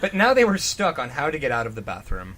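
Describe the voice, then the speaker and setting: A man's voice: mid-range voice, huge pitch swings, quick pace, background noise. A man bantering casually and profanely over a dramatic reading, sarcastic and incredulous. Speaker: co-host of a comedy reading show.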